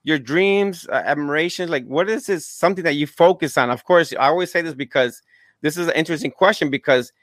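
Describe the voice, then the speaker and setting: A man's voice: fairly high pitch, fairly quick, no echo, studio quality. An American man in his forties from Florida, hosting an interview in a casual, conversational way. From Florida, United States. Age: 40s. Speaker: interview host.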